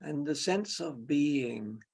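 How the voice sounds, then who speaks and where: A man leading a guided meditation as a teacher, speaking in short, unhurried phrases with long pauses, his voice gravelly and husky. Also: on the high side, expressive, darkish tone. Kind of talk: guided meditation, spoken slowly and softly.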